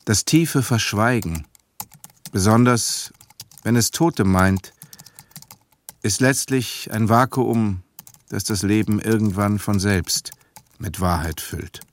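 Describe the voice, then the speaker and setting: An actor playing a reflective grown son who narrates memories of his father in a radio drama, measured and deliberate. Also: fairly low pitch. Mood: reflective, grave.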